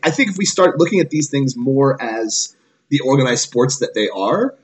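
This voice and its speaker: A man's voice: mid-range voice, lively intonation, normal speed. A man in his thirties in casual podcast discussion, explaining his points and often checking in with a tag question. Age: 30s. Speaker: podcast guest co-host.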